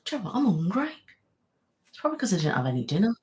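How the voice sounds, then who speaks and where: Casting: middle-aged British woman, ASMR host, casual live chat, rambling and friendly, mid pitch. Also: big pitch swings, very fast, a little mellow. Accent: British English. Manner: chatty, rambling, many fillers and restarts. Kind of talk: casual live-stream chat reading viewer comments.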